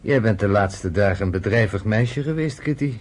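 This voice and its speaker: Husky, sardonic man. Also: lowish voice, animated, normal speed. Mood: teasing, sardonic. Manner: sardonic, fatherly. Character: wry, teasing American man, friend of a nurse. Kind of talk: Dutch radio play acting.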